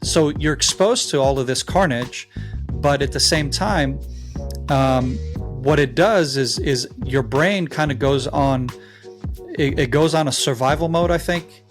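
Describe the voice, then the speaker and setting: A man in his forties from Israel with an American accent, answering interview questions haltingly with many fillers. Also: mid-range voice, soft background music. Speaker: guest.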